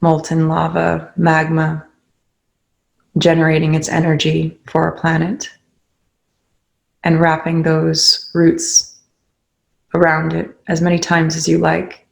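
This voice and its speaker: A meditation leader guiding listeners in a soothing, unhurried way, with pauses between short instructions. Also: mid-range voice, completely flat delivery, gravelly, rough recording. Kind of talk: guided meditation.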